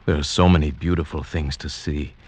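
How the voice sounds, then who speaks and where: An actor in a 1940s radio drama playing a weary young traveller who tells his story in a slow, wistful, reflective way. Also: extremely low pitch, fairly even intonation, a little mellow, husky. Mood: longing. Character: weary young traveller going blind, awed by beauty.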